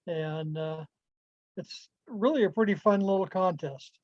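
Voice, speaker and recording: A man giving an informal club presentation over a video call, speaking haltingly with pauses. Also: sits high, a little mellow, no echo. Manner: halting, pausing delivery. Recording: dull, lo-fi video call audio.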